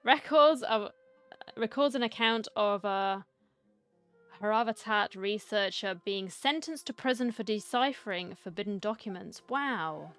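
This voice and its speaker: A woman streamer commenting casually on a game. Her mid-range voice moves between informal asides and reading on-screen text, with soft music behind her. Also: medium pitch, lively intonation, a little slow, clear, resonant tone. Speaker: gaming streamer.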